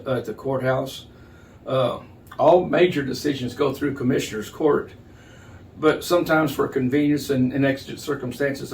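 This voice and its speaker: Older male public official, mid-pitched, plain and halting explainer, faintly noisy recording. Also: a little mellow. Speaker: county judge, interview guest. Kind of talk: explanatory interview answers. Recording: distant mic, noticeable background noise.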